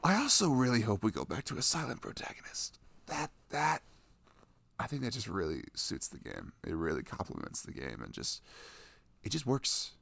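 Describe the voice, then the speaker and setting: A man's voice: medium pitch, sing-song, moderate pace, creaky, a bit bright, dry recording, heavily acted. A man playing a sweet, affectionate, nerdy young boyfriend in an ASMR roleplay, chatting tenderly in a casual, rambling way. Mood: nerdy, musing. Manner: tender, rambling, giggly, gushing about games.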